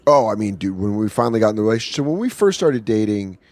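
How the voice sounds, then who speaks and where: A man's voice: on the low side, big pitch swings, fast, darkish tone, no room sound. A man in his thirties, a comedian guest, telling candid, profane stories in casual podcast chat from an apartment. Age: 30s. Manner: candid, profane storytelling with acted-out quotes.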